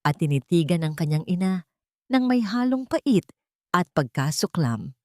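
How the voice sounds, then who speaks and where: Low-voiced Filipino woman narrating drama. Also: very animated, unhurried, darkish tone, dry recording, clean studio sound. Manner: solemn, theatrical. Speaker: story narrator.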